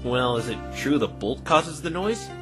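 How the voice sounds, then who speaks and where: A man voicing an earnest, bemused defense lawyer in a fan dub, asking measured questions with dry puzzlement. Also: mid-range voice, animated, lightly acted. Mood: bemused, questioning. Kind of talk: fan dub voice acting of a visual novel. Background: music.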